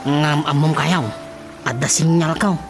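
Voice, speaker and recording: An actor playing a naive, earnest young son with an Ilocano accent in a radio comedy-drama. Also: male voice, fairly high pitch, steady tone, unhurried. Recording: prominent music under the dialogue.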